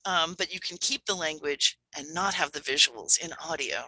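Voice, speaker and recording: A woman's voice: deep voice, moderate pace, pushed and bright, breathy edge, no room sound, rough recording. A woman talking casually on a panel, chatty and emphatic, as an audio drama writer.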